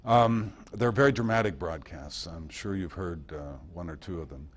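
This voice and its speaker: A man giving an informal history lecture at a club meeting in a halting manner, recorded by an amateur. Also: low-pitched, a little hiss or hum. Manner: halting, with pauses and quoted passages read aloud. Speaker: guest speaker at a club meeting.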